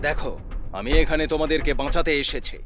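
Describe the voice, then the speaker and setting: A man playing a stern, accusing lawyer in a horror drama, questioning and stating things deliberately. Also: fairly high pitch, lightly acted. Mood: probing. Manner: deliberate, interrogating. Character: stern, accusing male lawyer seeking justice. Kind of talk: dramatised horror story acting.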